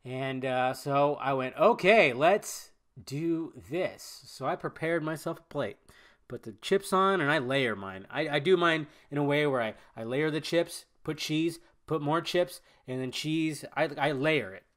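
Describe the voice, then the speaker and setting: A man's voice: on the high side, unhurried, a bit bright. A man hosting a podcast in loose, rambling casual chat, full of fillers and restarts, sounding nasal and stuffed up from a cold. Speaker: podcast host.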